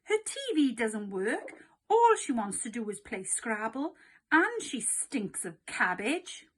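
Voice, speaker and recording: A woman's voice: on the high side, sing-song, slow, breathy edge, heavily acted. A woman voicing a grumpy, whiny young boy who protests and pleads, in a storybook reading. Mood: sulky, protesting.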